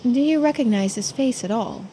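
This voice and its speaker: A woman voicing a shrewd female police investigator in a mystery game, measured, polite and in charge. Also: on the high side, expressive, mellow, slight background noise. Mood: polite, probing.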